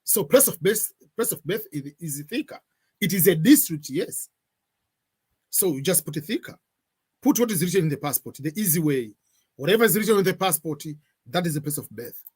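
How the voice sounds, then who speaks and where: A man's voice: on the high side, expressive, brisk, slightly rough. Man hosting a livestream. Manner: hesitant with frequent fillers, switching between English and Swahili. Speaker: livestream host answering viewer questions. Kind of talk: casual live Q&A. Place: indoor room at home.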